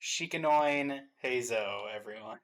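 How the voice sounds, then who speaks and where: A man's voice: mid-range voice, a little slow, fairly bright tone. A man co-hosting a reaction stream in casual commentary, with a dry, teasing way of talking.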